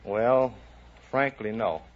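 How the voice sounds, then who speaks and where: A man's voice: lowish voice, takes it slowly. An American man, a navy seaman, giving plain-spoken, polite answers as a quiz contestant. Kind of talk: unscripted interview answers. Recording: muffled, noisy 1950s radio recording, studio audience. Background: studio audience, heavy hiss and clicks.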